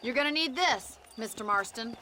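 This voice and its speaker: An actress playing a spirited, plainspoken young rancher's daughter in a western video game. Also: female voice, fairly high pitch, animated, moderate pace, pushed and bright, slight background noise. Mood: plainspoken, friendly.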